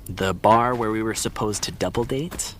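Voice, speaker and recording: A man's voice: fairly low pitch, moderate pace, heavy background noise, lightly acted. A man playing an easygoing, teasing, sympathetic friend in a naturalistic audio drama, with casual banter. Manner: casual, bantering, hesitant when disagreeing.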